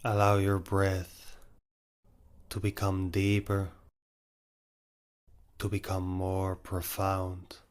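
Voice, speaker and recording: A man leading a guided meditation in short, measured, instructive phrases with long pauses between them. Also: deep voice, monotone, smooth.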